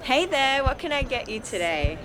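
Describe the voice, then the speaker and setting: Woman playing a high, lilting coffee shop server. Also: very animated, normal speed, noisy background. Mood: polite. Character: friendly young female coffee shop server. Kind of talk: customer service patter.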